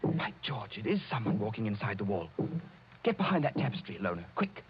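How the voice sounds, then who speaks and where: Bold, animated young lord. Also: male voice, on the low side, fairly quick. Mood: tense. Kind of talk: 1940s radio suspense acting. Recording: muffled, noisy old radio recording.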